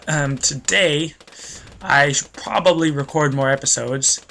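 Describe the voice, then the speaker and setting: Young male let's play host, bright tone, rambling stream-of-consciousness commentary, stuffy nose, faint keyboard typing behind. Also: on the high side, takes it slowly, creaky, slight background noise. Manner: rambling, loses train of thought, self-deprecating. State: stuffy nose, voice a bit worn.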